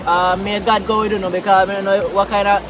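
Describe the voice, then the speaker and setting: Relaxed Jamaican vlogger, a man with a high voice and a Patois accent, filmed outdoors by a river with noisy water behind. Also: fast talker. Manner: relaxed, chatty, mixing Patois into English. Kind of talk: casual vlog talk outdoors.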